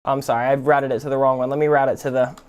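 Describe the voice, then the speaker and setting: A man giving a casual, conversational software tutorial as the host. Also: medium pitch, little pitch movement, fast, soft background music. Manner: informal, conversational. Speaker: music production tutorial host. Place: indoors at a computer.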